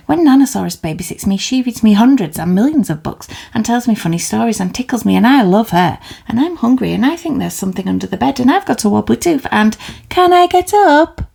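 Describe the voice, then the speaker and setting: A woman's voice: a little high, gravelly, a little mellow, breathy edge, voice pushed up, full character voice. A woman voicing a whiny little boy dinosaur who won't go to sleep, rattling off complaints without a breath. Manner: breathless, whining.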